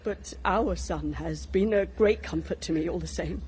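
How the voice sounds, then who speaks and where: A woman's voice: fairly low pitch, normal speed, darkish tone, slight background noise, lightly acted. An actress playing a needy, unstable abandoned mother in a radio drama, pleading and emotional. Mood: hysterical, accusing.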